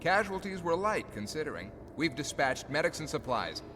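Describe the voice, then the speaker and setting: An actor playing a brisk, matter-of-fact general in a science fiction audio drama. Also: male voice, on the high side, very animated. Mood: businesslike. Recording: reverberant hall, faint background noise.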